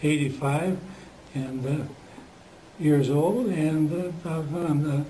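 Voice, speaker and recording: An elderly man in his eighties giving an unscripted testimonial, halting and full of fillers and pauses. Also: fairly high pitch, fairly even intonation, dark tone, a little hiss or hum, poor audio. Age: 80s.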